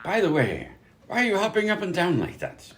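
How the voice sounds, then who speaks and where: An actor voicing peevish, officious, bickering conjoined twins in a comedy radio play. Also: male voice, high voice, wildly swooping pitch, brisk, a bit bright, slight background noise, heavily acted. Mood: polite, officious. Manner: squabbling, peevish, swinging between two personas.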